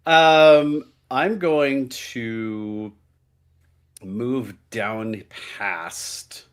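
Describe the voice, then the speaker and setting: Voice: medium pitch, extremely slow, clean tone. A tabletop game player thinking aloud hesitantly in casual chat.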